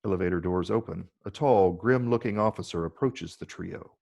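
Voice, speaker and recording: A man with a gravelly, husky voice reading scripted screenplay narration. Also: low-pitched, normal speed, a little mellow. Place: virtual call from separate location.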